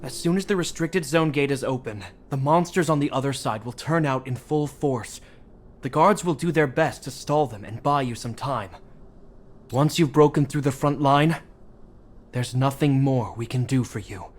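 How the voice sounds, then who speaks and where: A man's voice: medium pitch, moderate pace, close to an everyday voice. A man voice-acting a dutiful, formal captain of the guard in a video game. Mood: grave, formal. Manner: formal, commanding.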